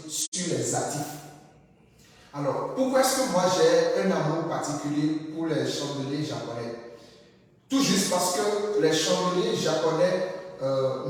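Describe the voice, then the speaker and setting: A slightly high-voiced man teaches a live lesson, repeating questions to his viewers and asking them to answer. French is not his first language. He sounds far from the mic in a room with a long, heavy echo. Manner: repetitive, checks in with audience often. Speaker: trading instructor.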